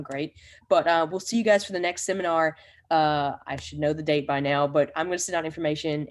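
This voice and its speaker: Woman host, low voice, fast talker, appreciative. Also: slight background noise. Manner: appreciative, brisk wrap-up. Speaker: seminar host. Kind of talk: hosting an online seminar.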